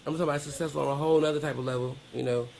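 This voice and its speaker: Middle-aged American woman, low creaky voice. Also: steady tone, racing, a little mellow, slight background noise. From Georgia, United States. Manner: emphatic, colloquial. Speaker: interview guest. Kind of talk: candid personal storytelling.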